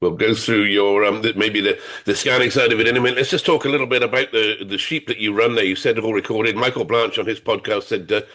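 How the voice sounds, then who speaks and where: A man's voice: fairly low pitch, steady tone, fast, hardly any pauses, pushed and bright. A man hosting a farming podcast, chatty and jokey, sniffly from a head cold. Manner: chatty and jokey, laughs often. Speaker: podcast host. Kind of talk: casual interview.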